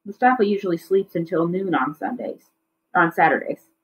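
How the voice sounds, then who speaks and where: A woman's voice: fairly low pitch, animated, normal speed, low-quality recording. A woman reading a children's novel aloud as a teacher would, in storybook narration.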